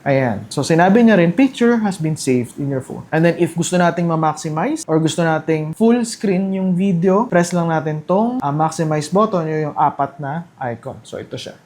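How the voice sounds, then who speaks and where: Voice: mid-range voice, darkish tone. A presenter with a Filipino accent explains a product step by step in a casual, conversational and instructional way.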